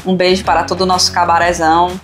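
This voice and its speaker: A woman's voice: lowish voice, little pitch movement, racing. A woman hosting a casual podcast chat in an informal, teasing manner. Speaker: podcast host. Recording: music playing behind the voice. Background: music.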